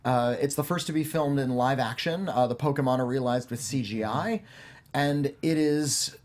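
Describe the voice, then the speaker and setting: A man's voice: mid-range voice, moderate pace, darkish tone. Wordy, wry man hosting a film panel. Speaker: host of a film review panel show. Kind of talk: conversational film discussion.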